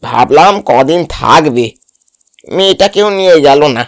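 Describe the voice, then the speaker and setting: A humble village father-in-law from a comic cartoon, acted by a man. He speaks in a slightly raised male pitch, timid and deferential. He sounds easily confused and unsure of himself. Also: animated, normal speed. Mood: puzzled. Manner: nervous stuttering, deferential.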